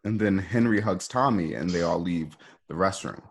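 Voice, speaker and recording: A man's voice: deep voice, moderate pace, vocal fry. A man casually narrating stage directions for a script read, in a conversational style.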